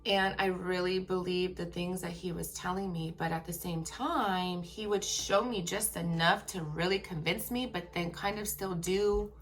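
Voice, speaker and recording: A woman telling a personal story to her viewers. She speaks frankly and emphatically and keeps checking in with "okay?". Her voice is turning raspy after a long workday. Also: lowish voice, fairly even intonation, a little slow, few pauses.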